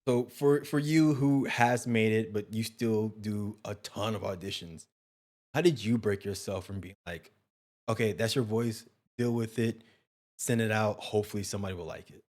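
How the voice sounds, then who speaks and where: Male host, lowish voice, casual and rambling, pokes fun at himself. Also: normal speed, darkish tone. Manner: rambling, self-deprecating questions. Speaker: interview host. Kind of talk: casual interview chat.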